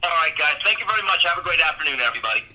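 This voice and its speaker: A man's voice: on the high side, animated, rapid-fire, bright, forceful tone. A man asking questions as a reporter on a press conference call over a phone line. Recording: phone line with faint noise.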